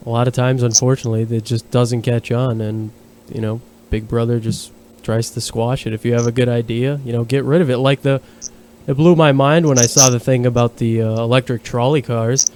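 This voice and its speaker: A Portugal-born man co-hosting a casual podcast, chatty and animated. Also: medium pitch, a little hiss or hum. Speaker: podcast co-host.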